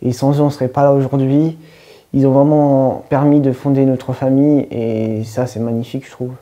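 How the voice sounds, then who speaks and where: A man born in France, speaking calmly and sincerely in a documentary interview. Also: medium pitch, normal speed. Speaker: interviewee, son of immigrants.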